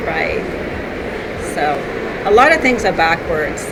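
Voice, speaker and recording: A woman in her sixties whose first language is Swedish, an author answering interview questions thoughtfully, with pauses and fillers. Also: on the low side, very animated. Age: 60s. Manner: thoughtful, hesitant, many fillers and restarts. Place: noisy public venue. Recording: loud background noise.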